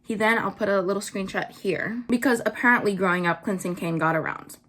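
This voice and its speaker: A young woman doing casual commentary, blunt and full of "like" fillers, her pitch jumping up when she gets worked up. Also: brisk, fairly bright tone. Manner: blunt, swears, many "like" fillers. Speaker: vlogger.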